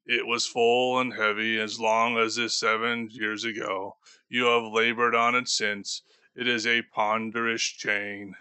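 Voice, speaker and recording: A man voicing a doomed, grieving male ghost bound in chains, speaking slowly and gravely for an audiobook. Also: fairly low pitch, level tone, sharp tone, no room sound. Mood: mournful. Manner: slow, solemn and grave. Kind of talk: audiobook character voice.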